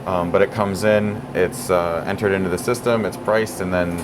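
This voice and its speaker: Man, store manager, low voice, easygoing walk-through talk, steady background hum. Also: normal speed. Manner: relaxed, many fillers and restarts. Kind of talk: casual store tour talk. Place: grocery store aisle.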